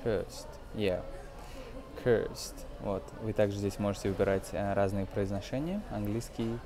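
A man's voice: lowish voice, unhurried, over music. A man in his twenties giving an informal English lesson, explaining hesitantly phrase by phrase. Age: 20s. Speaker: English teacher. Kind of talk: informal film-based language lesson.

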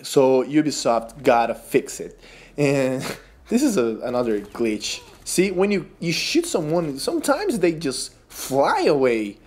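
Man, Brazilian accent, Portuguese native, slow-paced, pitch swinging widely, gameplay commentary. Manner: animated, incredulous, laughs at glitches. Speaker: gaming YouTuber.

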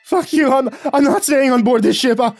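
A man's voice: very high-pitched, normal speed, a little mellow, faint music behind, pitched above the performer's own voice. A man voicing a terrified, hysterical young crewmate who screams and stammers in panic.

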